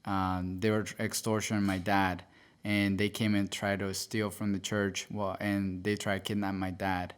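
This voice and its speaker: A man's voice: low voice, little pitch movement, a little slow, few pauses, clear, resonant tone. A young man from El Salvador, a podcast guest, telling his story earnestly with frequent fillers and self-corrections. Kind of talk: casual interview storytelling.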